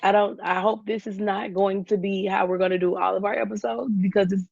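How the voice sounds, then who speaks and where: Hoarse woman pushing her voice on a call. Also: medium pitch, steady tone, brisk, dry recording. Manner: talks loudly to push past a weak voice, with frequent ums. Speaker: podcast co-host. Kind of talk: casual podcast chat. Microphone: video call. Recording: dull lo-fi video call audio.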